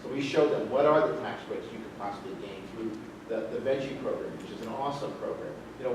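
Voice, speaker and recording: Male panelist, explanatory, heard through a far-off mic in a boomy hall. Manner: explanatory, restarts phrases. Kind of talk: panel discussion remarks. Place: large hall. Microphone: distant room mic. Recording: echoey hall, distant noisy mic.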